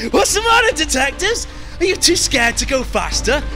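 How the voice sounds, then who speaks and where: A man playing a shrill, manic psychopathic villain in an amateur radio play. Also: very animated, unhurried, sharp tone, soft background music, full character voice, poor audio. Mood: manic, taunting. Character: taunting psychopathic criminal, man, cackling then pleading.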